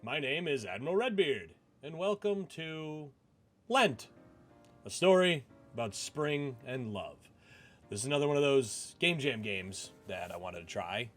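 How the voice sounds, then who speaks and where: A man's voice: medium pitch, expressive, unhurried. A man hosting a gaming channel, reading a visual novel aloud as a storyteller. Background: game music.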